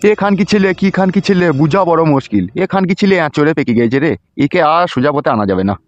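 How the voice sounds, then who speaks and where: Casting: male actor as exasperated, foul-mouthed village father, highish voice, rapid delivery, cartoon comedy. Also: very animated, highs cut off, faint music behind. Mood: irritated. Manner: coarse, scolding, exasperated. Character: irritable, foul-mouthed village father, middle-aged and suspicious.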